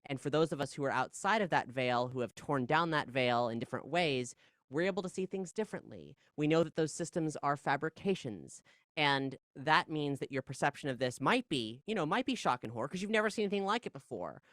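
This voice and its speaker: Halting, discursive podcast guest, dry close sound. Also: medium pitch, animated, fairly bright tone, dry recording. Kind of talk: casual conversational interview, philosophical musing.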